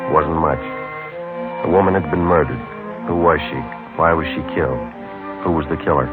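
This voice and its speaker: A man playing a terse, businesslike homicide detective with clipped, deadpan delivery in an old radio drama. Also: very deep voice, normal speed. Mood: matter-of-fact. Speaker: narrator and lead detective. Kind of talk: 1950s radio drama acting and narration. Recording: muffled old radio broadcast, music behind.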